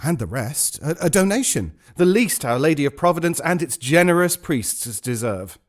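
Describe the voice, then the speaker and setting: A man's voice: on the high side, very animated, moderate pace. An actor playing a smug, flippant man in an audio drama, with a glib, breezy manner. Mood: glib, sarcastic. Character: smug, flippant, well-off man asking favours.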